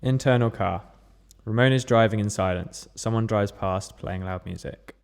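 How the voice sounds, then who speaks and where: A man's voice: on the low side, normal speed, vocal fry, clear, resonant tone. A man narrating scripted stage directions at a table read.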